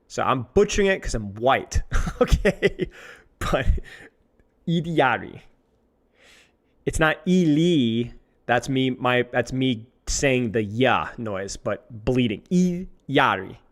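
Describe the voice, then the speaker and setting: A man streaming live, chatting casually to his viewers in an exasperated, self-mocking, rambling way. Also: mid-range voice.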